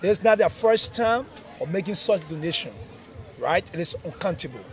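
Male orphanage director, 40s, Sierra Leonean accent, high voice, unscripted outdoor interview. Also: expressive, normal speed. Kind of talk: spoken interview answer.

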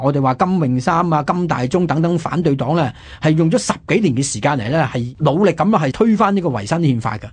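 A man's voice: fairly high pitch, husky. A male radio host with a Hong Kong Cantonese accent, telling history conversationally and emphatically with rhetorical questions.

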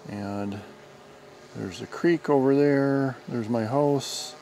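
A man's voice: mid-range voice, takes it slowly, mellow. A man in his fifties with an American accent gives a plainspoken, explanatory demonstration and review in a conversational style. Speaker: product reviewer and drone pilot. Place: outdoors on an open lawn. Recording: outdoor recording with background noise.

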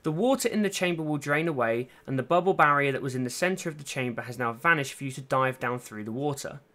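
A man's voice: mid-range voice, lively intonation, fast, bright, forceful tone. A man reading a scripted video game walkthrough as host, in an instructional, step-by-step way. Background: music under the voice.

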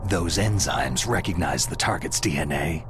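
An actor playing a gruff, stoic special forces soldier in a video game, with a gravelly voice. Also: male voice, rumbling low, expressive, moderate pace, noisy background. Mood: grim. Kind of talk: dramatic video game voice acting. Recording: music under the voice.

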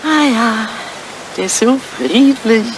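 Fluttery elderly lady, lively pitch. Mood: fond, gentle. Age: older. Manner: fluttery, exclaiming, halting. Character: elderly English lady, hesitant, affectionate old sweetheart. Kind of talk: German radio play acting. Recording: noisy, clicky vintage radio recording.

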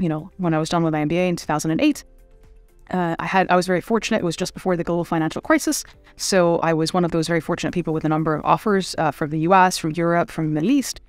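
A woman's voice: fairly low pitch, dry recording. Thoughtful woman, dry studio sound. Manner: thoughtful, many fillers and restarts. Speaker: private equity managing director, guest. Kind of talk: conversational interview. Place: studio set with city backdrop. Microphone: desk microphone.

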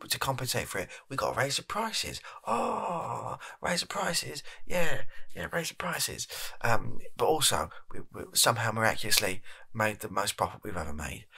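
A man's voice: mid-range voice, sing-song, vocal fry, sharp tone, husky, pitched above the performer's own voice, full character voice. A British man playing a flustered, shifty energy company chief, bumbling and evasive, in an improvised comedy sketch. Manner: bumbling, evasive, halting, put-upon. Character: flustered, shifty male energy company CEO recording an address.

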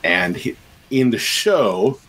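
A man's voice: lowish voice, big pitch swings, slow, a bit bright, breathy edge. A middle-aged man, a game composer and sound designer, talking casually on a video call with many fillers and restarts. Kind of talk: casual podcast interview.

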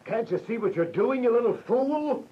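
A man's voice: extremely high pitch, lively intonation, moderate pace. A man playing an exasperated, scornful religious accuser who scolds in a radio drama. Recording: slightly muffled radio drama audio.

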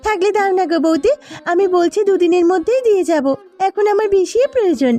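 Woman acting a high-voiced, earnest village wife. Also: fast, warm tone, faint music behind. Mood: pleading. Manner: pleading, solicitous. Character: caring, earnest poor farmer's young wife. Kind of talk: Bengali cartoon voice acting.

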